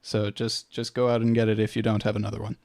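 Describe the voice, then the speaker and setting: A man's voice: fairly low pitch, completely flat delivery, fast, a little mellow, no room sound. A man presenting an audio-production tutorial in an informal, matter-of-fact, slightly tentative way.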